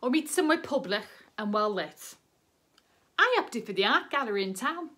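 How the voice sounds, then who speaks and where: A woman's voice: fairly high pitch, sing-song, normal speed, bright, forceful tone, slightly rough, exaggerated voice. A woman performing a comic monologue as a fussy, dry-humoured online dater telling of her romance. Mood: wry. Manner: wry, pedantic, matter-of-fact.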